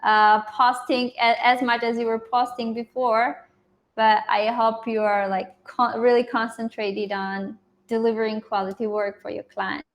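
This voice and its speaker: Higher-voiced woman, encouraging call coach. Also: level tone, a little slow. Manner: encouraging, affirming. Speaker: coach leading a group call. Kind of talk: informal online coaching. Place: online video group call.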